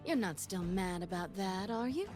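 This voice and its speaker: A woman's voice: medium pitch, lively intonation, normal speed, close to an everyday voice. A woman voicing a flirtatious, dangerous rogue in a video game, sultry and playfully taunting. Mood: teasing. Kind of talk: video game character voice lines. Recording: game audio under music.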